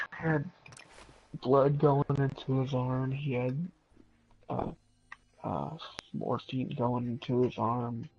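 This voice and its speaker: A man's voice: fairly high pitch, muffled recording. Young guy like 20 rambling and swearing while gaming, casual storytelling, noisy recording. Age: around 20. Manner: sweary, digressive. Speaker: gaming streamer.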